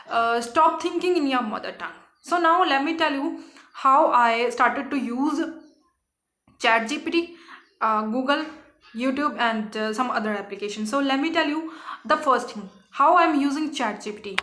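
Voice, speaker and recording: A woman whose first language is Punjabi speaks English with a Punjabi accent, her voice sitting high. She is earnest and encouraging, with frequent fillers and small self-corrections. The room around her is echoey. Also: a bit bright. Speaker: English learning vlogger. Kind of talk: casual vlog lesson.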